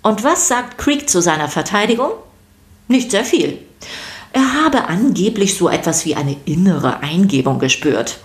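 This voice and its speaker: A woman's voice: medium pitch, expressive, measured pace. A woman narrating a scripted children's story podcast, addressing her listeners playfully and directly.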